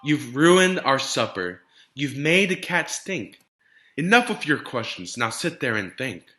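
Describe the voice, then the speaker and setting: An exasperated storybook parent, acted out by a man, lays down the law in forceful, clipped commands. The delivery is bright and emphatic, with big swings of pitch as the scolding is driven home. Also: big pitch swings, measured pace. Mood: angry scolding. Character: frazzled, stern parents scolding their curious child. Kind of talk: children's storybook read-aloud.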